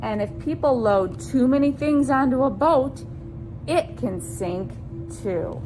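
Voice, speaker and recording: A woman presenting a children's science storytime, reading aloud deliberately and speaking to young listeners as friends with simple questions. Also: high-pitched, expressive, music in the background, low-quality recording.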